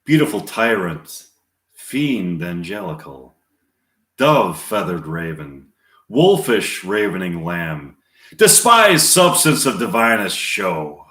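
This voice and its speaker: A man's voice: low voice, big pitch swings, sharp tone, full character voice. A man reading an impatient lovesick young girl, echoey room. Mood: bitter. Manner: halting, earnest verse reading. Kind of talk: amateur Shakespeare reading.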